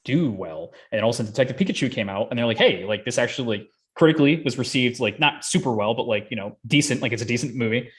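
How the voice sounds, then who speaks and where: A young man hosts a casual podcast conversation. His voice sits at an ordinary middle pitch and he talks in an informal, conversational way. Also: animated, fast, hardly any pauses. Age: young adult. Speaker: podcast host. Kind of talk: casual chat.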